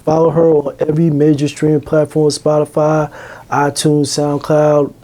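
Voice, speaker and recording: A man's voice: on the high side, monotone, normal speed, dark tone. A man hosting a podcast interview in a laid-back way, using slang and lots of fillers. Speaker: podcast host.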